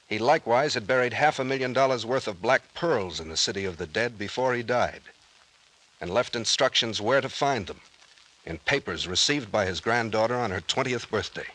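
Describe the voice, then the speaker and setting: An actor playing a brusque, commanding private investigator captain with gruff, slangy talk in an old-time radio drama. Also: male voice, medium pitch, normal speed, fairly bright tone, close to an everyday voice, rough recording. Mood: narrating grimly. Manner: gruff, bossy, folksy slang. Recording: vintage radio drama recording.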